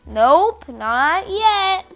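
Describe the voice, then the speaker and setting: Child, storybook read-aloud, high voice, unhurried pace, very lively intonation. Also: faint music behind.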